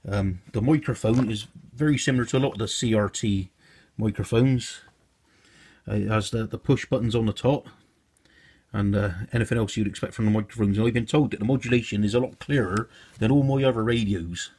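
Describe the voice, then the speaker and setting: A man's voice: lowish voice, fairly quick, darkish tone, breathy edge. A man giving an informal, unscripted product walkthrough in a hesitant, explanatory way, like a hobbyist presenter.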